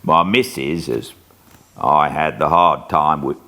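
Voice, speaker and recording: A man's voice: very deep voice, slow pace, pushed and bright, heavily acted. A man doing an old drawling convict. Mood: brooding. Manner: gruff, plain-spoken, drawling. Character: old rough male convict telling his past.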